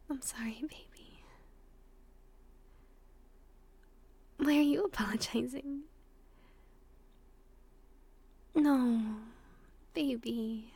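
A woman acting a soft, high-voiced, tender girlfriend. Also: fairly even intonation, a little slow, long pauses, no echo, full character voice. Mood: gently calming. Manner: soft, breathy, often whispered, affectionate. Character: tender, emotional young girlfriend comforting her boyfriend. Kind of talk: intimate roleplay audio drama, addressed to the listener.

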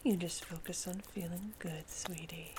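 A woman in sexually explicit adult ASMR audio, moving between breathy whispers and a soft, cooing, soothing voice. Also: lowish voice, fairly even intonation, moderate pace, very noisy.

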